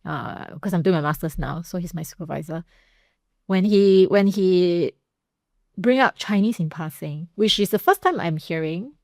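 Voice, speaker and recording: A young Singaporean woman with a Singaporean accent chats easily as a podcast host, filling her stories with "like" and "you know." Her voice sits slightly low. The sound is dry and close, with no room echo. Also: moderate pace, darkish tone. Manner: chatty, storytelling, frequent "like" and "you know". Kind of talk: casual conversational interview.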